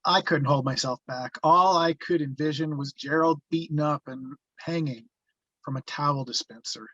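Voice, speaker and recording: A man's voice: fairly high pitch, normal speed, pushed and bright, breathy edge, no room sound. A man playing a reflective, haunted narrator in a scripted read, with dull lo-fi sound. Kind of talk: scripted first-person narration.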